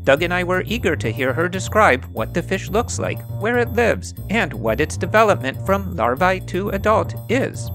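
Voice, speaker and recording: A man's voice: sits high, moderate pace, few pauses, soft background music. A man co-hosting a science podcast, introducing the show and reading scripted narration in an announcing manner. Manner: animated, announcing. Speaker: podcast co-host.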